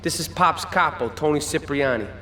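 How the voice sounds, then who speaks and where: An actor playing a cocky young mobster and mechanic, smug and casual. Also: male voice, fairly high pitch, moderate pace, sharp tone, echoey room, big-room sound, faint music behind, rough recording.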